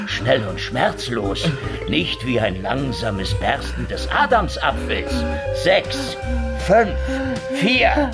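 Menacing, sardonic interrogator over music. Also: male voice, medium pitch, big pitch swings, takes it slowly, gravelly, exaggerated voice. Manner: mocking, theatrical, taunting. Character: menacing sardonic male interrogator in handcuffs, mockingly polite. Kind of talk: audio drama acting. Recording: loud music under the voice.

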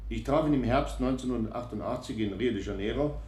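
A police informant reads his statement to the court in formal, measured sentences, voiced by an actor. The pitch sits in the middle range. The room around him is echoey. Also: a little mellow, slight background noise, close to an everyday voice. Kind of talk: read testimony in documentary radio play.

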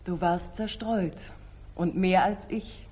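An actress playing a shrewd, proud, devoted sister of a sultan, declaiming precisely in the theatrical style of 1940s German radio drama. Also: female voice, on the low side, slow pace, warm tone, breathy edge. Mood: gentle, teasing. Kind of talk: 1940s German radio stage-classic acting.

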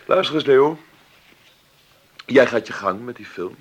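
An actor in a radio play playing a wealthy, famous film-maker in his fifties, wry and commanding, with a gravelly, husky, sometimes halting delivery. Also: medium pitch, expressive. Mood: firm, generous. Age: 50s. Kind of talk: Dutch radio play acting.

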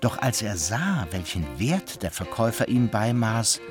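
An actor as the storyteller of a German radio play, giving mock-solemn scripted narration of a hero's misadventures. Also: male voice, lowish voice, sing-song, a little slow, over music. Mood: storytelling.

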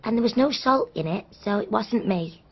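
An actress playing an anxious, deferential young English housemaid in a radio drama, meek and eager to please. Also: female voice, medium pitch, animated, moderate pace, husky. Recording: dull, lo-fi radio drama recording.

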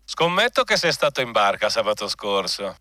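An actor playing a jovial, conniving personnel director and old crony, jocular and wheedling, in a radio drama. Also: male voice, lowish voice, big pitch swings, normal speed, bright, forceful tone, dry recording, studio quality. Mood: jovial, insinuating.

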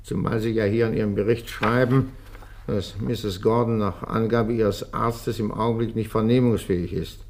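An actor playing a dismissive, self-assured police inspector in a German radio crime drama, speaking with condescending authority. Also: male voice, fairly low pitch, fairly even intonation, normal speed, a little hiss or hum, lightly acted. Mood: dismissive, authoritative.

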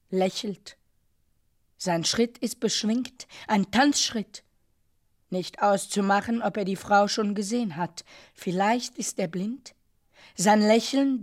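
A woman narrates a literary radio drama at a very unhurried pace. Her middle-pitched voice delivers each phrase deliberately and sets it apart with pauses. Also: darkish tone.

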